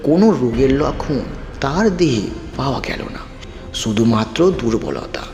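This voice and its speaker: A man reading a scripted ghost story as storyteller, with dramatic, measured phrasing. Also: medium pitch, vocal fry, darkish tone. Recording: roomy long reverb, music bed.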